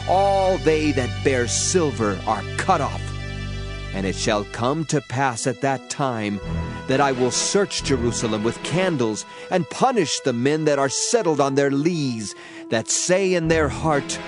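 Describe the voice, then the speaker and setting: A man's voice: medium pitch, animated, a little slow. A man giving a solemn, dramatized audio Bible reading with declamatory emphasis. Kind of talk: dramatized scripture reading in archaic English. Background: background music.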